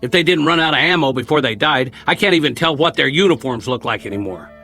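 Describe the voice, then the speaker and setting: A world-weary, folksy survivor. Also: male voice, fairly high pitch, lively intonation, fast, pushed and bright, soft background music. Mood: tense, daring. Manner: colloquial, rambling, folksy. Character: world-weary male survivor soldier, chatty, nostalgic, wary. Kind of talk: radio drama acting.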